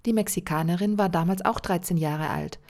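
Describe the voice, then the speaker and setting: A woman narrating a documentary report in an even, measured read. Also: on the low side. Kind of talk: scripted narration. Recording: faint music underneath.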